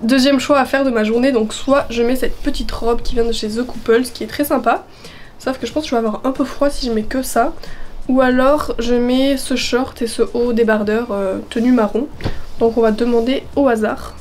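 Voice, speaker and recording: A woman's voice: a little high, steady tone, moderate pace, darkish tone, slight background noise. A young woman in her twenties chatting in a casual vlog, her voice worn from a chest cold, upbeat and full of fillers. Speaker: vlogger. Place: at home, partly out on a terrace.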